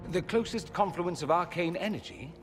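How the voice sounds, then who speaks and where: An actor playing a witty, urbane mage in a video game, with an arch and theatrical delivery. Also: male voice, sits high, normal speed, natural voice. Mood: intrigued. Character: witty, flamboyant adult male mage, urbane and inquisitive. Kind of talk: dramatic video game voice acting. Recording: long hall reverb, music underneath.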